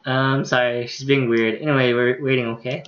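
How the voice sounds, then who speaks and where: A man's voice: medium pitch, flat delivery, fairly quick, dull audio, very noisy. A man, a game streamer casually reading visual novel text and dialogue aloud.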